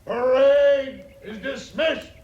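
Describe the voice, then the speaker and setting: An actor playing a male cavalry officer bellowing drawn-out military drill commands in an old radio drama. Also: extremely high pitch, darkish tone, exaggerated voice. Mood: commanding. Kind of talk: 1950s radio drama acting. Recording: old radio, dull, echoey, faint noise.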